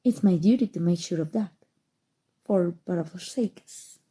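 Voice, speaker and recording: A woman playing a possessive evil sorceress in an ASMR roleplay, speaking softly and tenderly with a breathy voice that often drops to whispers. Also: lowish voice. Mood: soothing, seductive. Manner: slow, soothing, deliberate, sinister affection. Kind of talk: ASMR roleplay, intimate scripted monologue.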